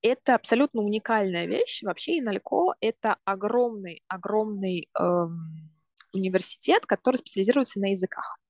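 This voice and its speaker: A woman in her twenties who grew up in Russia talks through her studies as a podcast guest. She is thoughtful and explains step by step, often stopping to rephrase. Her voice sits at a middle pitch and is recorded dry and close, with no room sound. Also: animated, normal speed, a little mellow, dry recording. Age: 20s. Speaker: podcast guest, university student. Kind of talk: casual explanatory talk.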